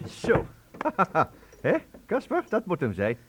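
Absent-minded old professor, stammering, animated, high-pitched, children's radio play, hissy recording. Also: male voice, slow, exaggerated voice. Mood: pleased, excited. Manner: muttering, stammering, repeating himself. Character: excitable, absent-minded, kindly old male inventor professor.

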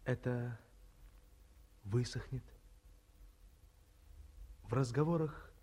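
An actor playing a playful, gallant young bachelor son in a 1950s radio play. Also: male voice, medium pitch, extremely slow, dark tone, husky.

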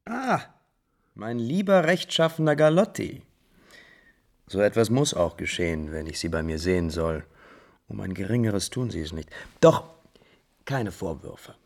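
An actor playing a smooth, scheming prince in a 1950s German radio drama, speaking in a courtly, condescending, declamatory way. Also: male voice, lowish voice, big pitch swings, measured pace, long pauses, heavily acted. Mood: courteous. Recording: vintage 1950s radio recording.

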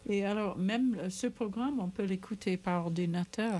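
A woman's voice: medium pitch, moderate pace, mellow. A woman hosting a community radio show, interviewing a guest and making pledge appeals in a casual, encouraging way. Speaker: radio host.

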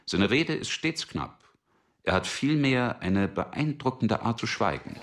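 A man's voice: mid-range voice, big pitch swings, measured pace, fairly bright tone. A man narrating a radio drama from a script.

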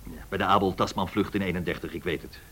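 An actor playing a brusque, commanding airline director in a radio drama, curt, authoritative and probing. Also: male voice, low-pitched, fairly even intonation, quick pace, sharp tone. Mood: brisk, commanding.

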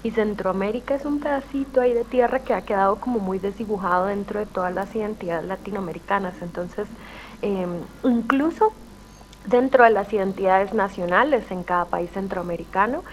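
A woman's voice: medium pitch, fairly quick, slight background noise, low-quality recording. A woman from Guatemala, a rapper and guest on a radio show, speaking thoughtfully with frequent restarts. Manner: thoughtful, searching, many restarts. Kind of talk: reflective interview talk.

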